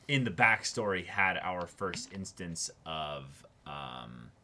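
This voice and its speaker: A man's voice: low voice, big pitch swings, slow pace, background noise. A man co-hosting a reaction show, chatting casually and rephrasing as he thinks aloud. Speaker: co-host of an anime reaction show. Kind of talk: casual discussion of an anime episode.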